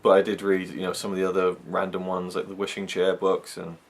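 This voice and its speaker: Brief: young man from Wales, deep voice, rambling chatty vlogger. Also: completely flat delivery, brisk. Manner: rambling, chatty, many fillers. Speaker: YouTube reviewer. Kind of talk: casual chat to camera.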